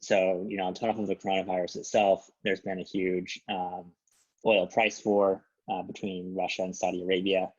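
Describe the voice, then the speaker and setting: A man's voice: low voice, little pitch movement, moderate pace. A man presenting an informal market briefing on an investment conference call, with dull, lo-fi call audio.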